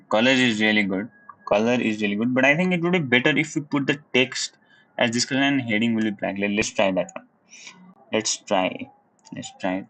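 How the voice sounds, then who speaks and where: A young man from Nepal with a Nepali accent, live-streaming his coding practice in casual commentary. He thinks aloud in a relaxed way, hums little la-la tunes as he works, and sometimes drops into Nepali. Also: on the low side, rough recording. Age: young adult. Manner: laid-back, chuckles, switches into Nepali. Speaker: livestreamer learning web coding.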